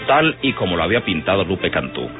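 A man's voice: low voice, big pitch swings, fast. A man giving dramatic scripted narration for an old radio serial. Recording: tinny old radio recording, loud music. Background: music.

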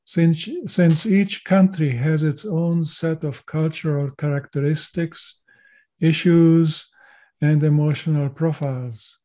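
A man in his seventies speaks with a mellow, slightly raised voice. He is a native German speaker who grew up in Chile. He gives measured answers as an interview guest. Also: fairly high pitch, steady tone. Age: 70s. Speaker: GIS consultant and lecturer, interview guest.

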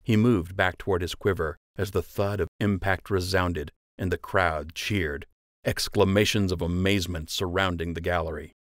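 A man's voice: low voice, steady tone, normal speed, no echo, studio quality. A man narrating scripted fiction as an audiobook reader.

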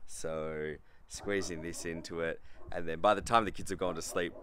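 A man's voice: lowish voice, fairly bright tone, clean tone, no room sound. A man in his thirties with an Australian accent, chatting casually as a vlogger in a rambling, self-deprecating way. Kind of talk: casual vlog chat.

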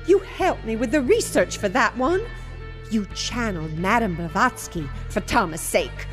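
A woman's voice: on the high side, animated, normal speed, faint music behind, lightly acted. A woman playing a theatrical, reproachful psychic in a sci-fi radio comedy, admonishing and dramatic. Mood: reproachful, knowing.

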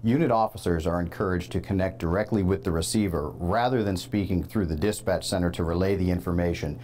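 A man's voice: sits low, brisk, darkish tone, soft background music. A middle-aged man, a senior fire officer, explaining procedure in an interview indoors. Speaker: fire department chief officer. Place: small indoor room hung with banners. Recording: faint background noise.

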